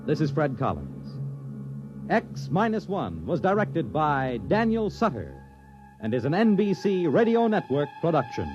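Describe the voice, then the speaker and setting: A man's voice: fairly high pitch, huge pitch swings, measured pace. A man announcing in a dramatic 1950s radio style. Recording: dull vintage radio recording. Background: music.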